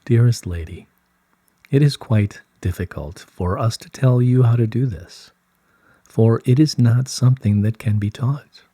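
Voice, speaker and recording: A man reading the part of a serene, wise collective of light beings in formal, measured, solemn phrasing from a scripted dialogue. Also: mid-range voice, dark tone, slightly rough, no room sound, studio quality. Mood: patient guidance. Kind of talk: scripted channelled dialogue read aloud.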